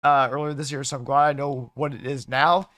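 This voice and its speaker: A man with a slightly hoarse voice chatting casually as a livestream host, in a halting way with repeated and restarted phrases. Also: medium pitch, level tone, fairly bright tone, no echo, clean studio sound.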